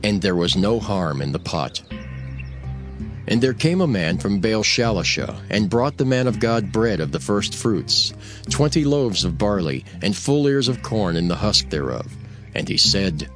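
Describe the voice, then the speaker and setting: Scripture narrator: man, lowish pitch, solemn and measured yet lively, light music underneath.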